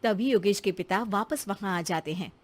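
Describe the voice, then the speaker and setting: A woman's voice: medium pitch, normal speed. A woman narrator telling a scripted moral story.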